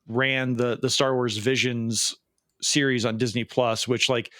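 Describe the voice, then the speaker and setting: A man's voice: medium pitch, little pitch movement, measured pace, a bit bright, no room sound, studio quality. A man co-hosting a podcast in casual chat, with a sarcastic, wry manner. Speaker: podcast co-host. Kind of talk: casual pop culture chat.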